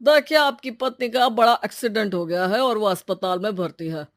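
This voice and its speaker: Woman as a low, official policeman. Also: no room sound, studio quality, close to an everyday voice. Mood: calm, grave. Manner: measured, official, reassuring. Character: calm, official policeman bearing bad news.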